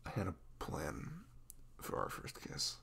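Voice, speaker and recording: A man playing a sweet, goofy, flustered young boyfriend in an intimate ASMR roleplay, stammering, apologetic and nervously tender. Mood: sheepish, nervous. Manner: stammering, halting, apologetic, breaking into soft nervous murmurs.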